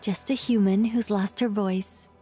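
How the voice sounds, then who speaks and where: A woman's voice: mid-range voice, steady tone, unhurried, mellow, husky, faint music behind. A woman voicing a young, cool and wry female character in a video game.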